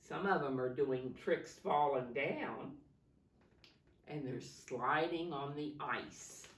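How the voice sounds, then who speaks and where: A woman reading a picture story aloud to young children in a sing-song way, pausing to point things out and ask simple questions. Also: sits low, slight background noise. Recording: roomy echo, distant microphone.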